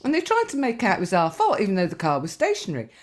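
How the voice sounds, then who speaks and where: A woman's voice: medium pitch, huge pitch swings, racing. A woman, a podcast co-host telling rambling, sweary anecdotes in casual chat, her nose slightly stuffy.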